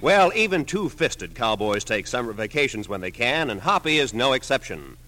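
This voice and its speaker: A man's voice: mid-range voice, very animated, moderate pace, sharp tone. An American man announcing a radio show in a scripted, dramatic, polished 1950s style.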